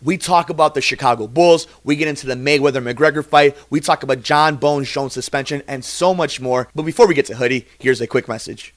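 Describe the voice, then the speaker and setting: A man's voice: mid-range voice, fairly quick, few pauses, no room sound. A man hosting a sports podcast, reading promos and interviewing guests about fight sports.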